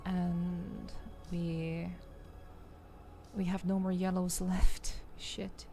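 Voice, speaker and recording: A woman streamer talks to her chat in a low, softly creaky voice. She feels her way gently through casual game commentary in an ASMR style, with music playing behind her. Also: flat delivery, very slow. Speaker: streamer, VTuber host.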